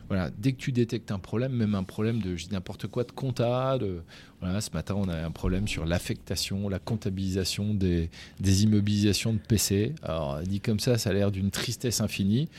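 A lower-voiced man speaks as a business founder being interviewed, in a frank, colloquial, animated way. He keeps restarting his sentences and filling gaps with hesitations. A faint rumble sits under the voice. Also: brisk. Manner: frank and colloquial, lively, with many fillers and restarts. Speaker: company founder and CEO, guest. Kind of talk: casual conversational interview.

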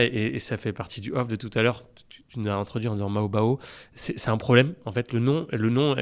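Earnest man with frequent restarts. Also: lowish voice. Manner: earnest, many restarts and repeated words. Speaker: podcast guest, company founder. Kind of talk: casual podcast talk.

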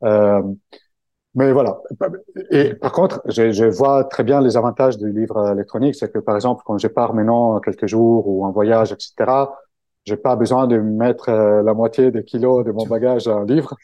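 A man from Italy speaks French with an Italian accent in a relaxed interview, pausing often on fillers and restarting his sentences. His voice is mellow and dark, and the sound is dry and close with no room echo. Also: on the low side, lo-fi sound. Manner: hesitant, many fillers and restarts. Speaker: guest, book-summary creator. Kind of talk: casual interview conversation.

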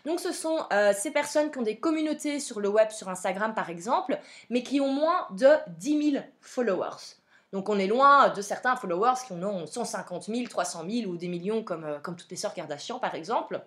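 A woman's voice: mid-range voice, expressive, brisk, fairly bright tone. A young woman with a Belgian French accent hosting a podcast, chatty and frank with plenty of fillers. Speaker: podcast host. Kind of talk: casual advice podcast.

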